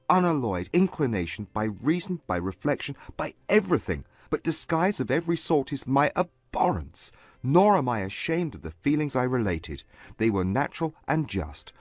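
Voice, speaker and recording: An actor playing a proud, wounded gentleman suitor in a dramatised audiobook, speaking with formal, emphatic diction. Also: medium pitch, no echo.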